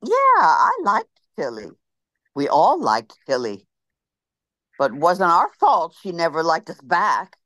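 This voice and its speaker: A woman's voice: fairly low pitch, huge pitch swings, slow, fairly bright tone, no room sound, exaggerated voice. A woman reading the part of a wry fellow churchgoer at a funeral, over a video call. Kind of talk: zoom radio-play reading.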